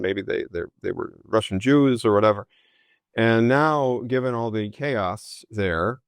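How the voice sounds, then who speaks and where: A man's voice: lowish voice, no room sound, studio quality. A man, a historian answering interview questions with many fillers and restarts. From United States. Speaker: historian guest.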